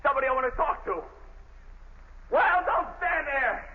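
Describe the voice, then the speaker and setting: A man's voice: very high-pitched, lively intonation, normal speed. An actor playing a young condemned male killer, bitter and desperate, in a 1930s radio drama. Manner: swings from hushed dread to shouting. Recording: tinny old radio broadcast, faint hiss.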